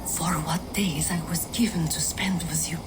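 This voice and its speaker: A woman's voice: fairly low pitch, steady tone, normal speed, noisy background, lightly acted. A woman playing a grieving Norse widow, whispering. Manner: hushed, whispering farewell. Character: grieving Norse widow mourning her lover.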